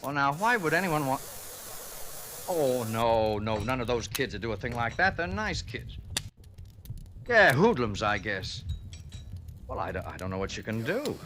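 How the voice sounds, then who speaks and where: An actor playing a genial but fretful middle-aged diner owner in old radio drama style, chatty and folksy, hesitant when flustered. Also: male voice, mid-range voice, big pitch swings, a little slow, long pauses, a bit bright. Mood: flustered, worried. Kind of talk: 1950s radio drama acting. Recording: hissy old radio recording.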